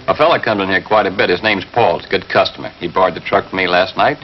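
A man's voice: low-pitched, quick pace, natural voice. An actor with an American accent playing an evasive, defensive counterman under police questioning, talking in a colloquial way. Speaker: counterman, suspect. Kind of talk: 1950s TV drama acting. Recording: dull 1950s TV soundtrack, faint hiss.

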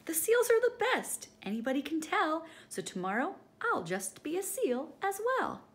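A woman's voice: extremely high pitch, wildly swooping pitch, moderate pace, voice pushed up, heavily acted. A woman voicing an eager, boastful male emu in a storybook, with an exclamatory, emphatic delivery.

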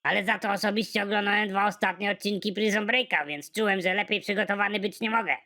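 Deadpan hapless cartoon hero, voiced by a narrator. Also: medium pitch, quick pace. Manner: matter-of-fact, deadpan delivery of absurd jokes. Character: naive hapless young man, cartoon hero. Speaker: narrator and protagonist. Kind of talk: animated comedy narration.

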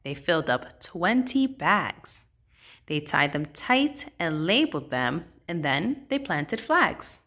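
A woman reading a rhyming children's picture book aloud in a measured, sing-song, expressive way. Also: deep voice.

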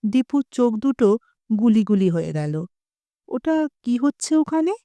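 A woman with a Bengali accent reading a story aloud in a slow, clear, expressive way. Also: on the high side, no room sound. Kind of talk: scripted story narration.

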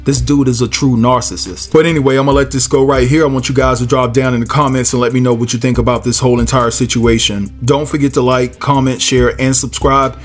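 A man's voice: medium pitch, little pitch movement, fast, few pauses, faint music behind. A man hosting a commentary channel, nasal from a head cold, reading a court filing aloud and then giving his casual opinion to viewers. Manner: casual, addresses viewers as y'all and guys.

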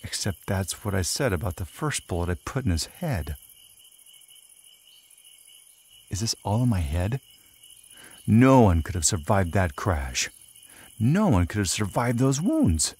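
An actor playing a cocky, cold-blooded sniper who narrates a motion comic in a terse, cynical way. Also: male voice, on the low side, big pitch swings, moderate pace, slight background noise. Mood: disbelieving, tense. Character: hard-boiled Hydra sniper, cocky cold-blooded male killer. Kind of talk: first-person scripted narration of a motion comic. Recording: loud music under the voice.

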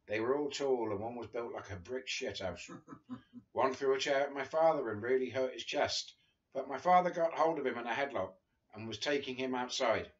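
An English man in his fifties reading a memoir aloud in an informal, amused way. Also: mid-range voice. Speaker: guest reader. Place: small living room, seated on a sofa. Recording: dull lo-fi video call audio.

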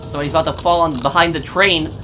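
A man's voice: a little high, steady tone, rapid-fire, noisy background. A man giving informal, conversational commentary as he hosts a gameplay video. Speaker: gaming video host. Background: video game sounds.

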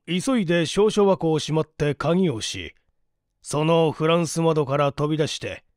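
A man reading a mystery story as a narrator, in a measured, polite and formal delivery. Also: on the high side, dry recording, clean studio sound. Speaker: audiobook narrator, stage actor. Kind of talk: dramatized literary reading, mystery novel.

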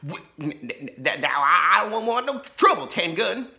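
A man's voice: extremely high pitch, huge pitch swings, takes it slowly, pushed and bright, pitched above the performer's own voice, full character voice. A man voicing a timid, stammering small-town sheriff father in a storybook reading. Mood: nervous. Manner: stammering, nervous, giggly. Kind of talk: storybook read-aloud.

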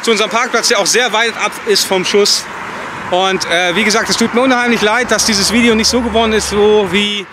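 A man's voice: high-pitched, fairly even intonation, fairly quick. A man, vlogging casually in blunt, colloquial language. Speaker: vlog host. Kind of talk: casual vlog chat. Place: outdoors, at an event and along a roadside. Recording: loud outdoor ambient and vehicle noise.

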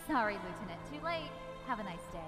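An actress playing a confident, breezy pirate leader in a science fiction audio drama, wry and brisk. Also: female voice, medium pitch, very animated, natural voice. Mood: mocking. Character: cocky, breezy female space pirate leader. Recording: music behind the voice.

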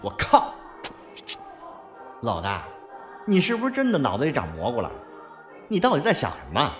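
Actor as brash henchman, wildly swinging pitch. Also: huge pitch swings, moderate pace, a bit bright, faint music behind. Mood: exasperated. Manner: brash, outspoken. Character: blunt, exasperated young henchman, loyal and hot-tempered. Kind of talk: Mandarin audio drama acting.

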